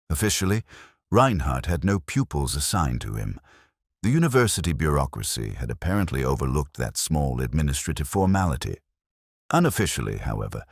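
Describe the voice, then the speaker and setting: A man giving a measured, reflective first-person narration of a detective story in a literary style. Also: a bass voice, breathy edge, no echo, studio quality.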